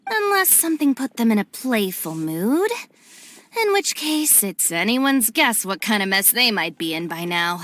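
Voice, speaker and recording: A woman's voice: on the high side, sing-song, unhurried, fairly bright tone. A woman voicing a composed, dryly exasperated young woman in an anime game, articulate and matter-of-fact. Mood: composed, wry.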